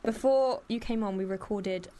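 A woman hosting a casual podcast, upbeat and quick as she reads out game questions. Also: medium pitch, mellow, a little hiss or hum. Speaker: podcast host.

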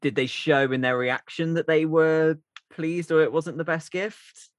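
A man's voice: fairly high pitch, steady tone, a little slow, dry recording, clean studio sound. A man hosting a light comedy radio interview in a conversational way. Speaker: radio host.

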